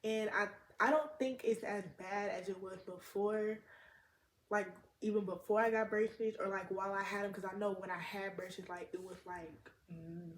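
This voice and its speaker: A woman's voice: medium pitch, steady tone, moderate pace. A teenage girl with a slight lisp, chatting casually and rambling in slang as a vlogger, recorded on a tablet. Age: teens. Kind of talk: casual chat to camera. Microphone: tablet camera mic. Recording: echoey room, faint noise.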